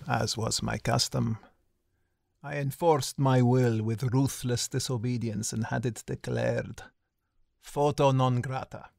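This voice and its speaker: A man's voice: medium pitch, steady tone, takes it slowly, gravelly, darkish tone, no room sound, clean studio sound. A man playing a bitter, ironic narrator who looks back on his life in grandiose, literary dramatic voice-over. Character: Federico, bitter, self-loathing, ironic male narrator looking back. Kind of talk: dramatic first-person voice-over.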